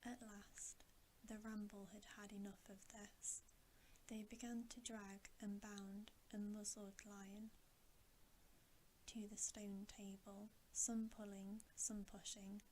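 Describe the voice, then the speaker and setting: Woman, breathy ASMR whisper, unhurried, very even pitch, storybook reading, light hiss. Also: poor audio. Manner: hushed, unhurried, softly deliberate. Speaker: ASMR storybook reader and host. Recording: steady audible hiss.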